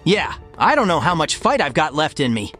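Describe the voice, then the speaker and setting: A wry, plucky young hedgehog, played by a woman in a comic dub. He sounds casual and worn but animated, over background music. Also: deep voice, moderate pace. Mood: weary.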